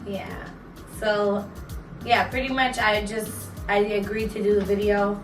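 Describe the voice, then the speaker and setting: A woman in her twenties chats her way through a couple's Q&A, rambling with lots of "um" and "like." Her mid-pitched voice sits at a distance from the mic. The room echoes, and music plays underneath. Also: fairly even intonation, measured pace. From New York and Florida, USA. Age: 20s. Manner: chatty, rambling with many fillers. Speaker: vlog co-host. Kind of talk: casual Q&A chat.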